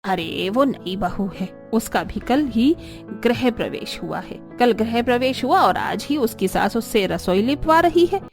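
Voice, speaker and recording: A woman's voice: fairly high pitch, lively intonation, a little slow, a little mellow, faint music behind. A woman playing a rich, snobbish middle-aged mother-in-law in a cartoon story, boastful and haughty. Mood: disdainful. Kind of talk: cartoon story dialogue acting.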